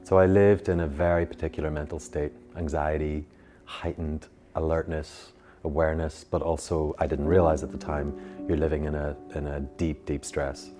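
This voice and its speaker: A man with a Belfast accent, a meditation teacher, speaking slowly and with long pauses. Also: very deep voice, flat delivery, mellow, soft background music. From Northern Ireland. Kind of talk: reflective personal account.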